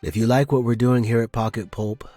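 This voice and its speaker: A man presenting and narrating as host of a fiction podcast, reading in an expressive storytelling style. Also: medium pitch, fairly quick, no echo, faint music behind.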